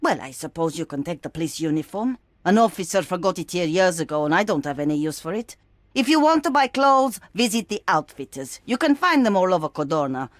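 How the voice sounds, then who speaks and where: An obliging trader in a video game, matter-of-fact and a little wry. The recording is close and dry, and her voice rises and falls in a lively way. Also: fairly low pitch, a little fast, no room sound. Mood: helpful.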